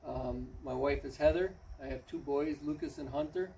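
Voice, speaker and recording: A plain-spoken man in his forties who volunteers as a parish cook, chatting casually with many pauses. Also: a little high, steady tone, vocal fry, dark tone. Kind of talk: casual chat. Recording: distant mic with faint noise.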